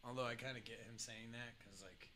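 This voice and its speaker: A man's voice: medium pitch, steady tone, a little fast, sharp tone, a little hiss or hum. A man in his late twenties chatting casually during a tabletop game, rambling and cursing as he laughs along. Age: late 20s. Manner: rambling, profane, laughs often. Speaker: player. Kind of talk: casual chat.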